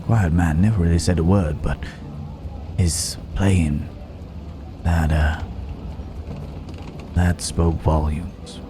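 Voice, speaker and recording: A man playing a gallant yet menacing Wild West cowboy in an intimate ASMR roleplay, unhurried, with pauses and folksy old-fashioned phrasing. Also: deep voice, fairly even intonation, background noise. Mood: wistful, reflective. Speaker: voice actor in an audio roleplay.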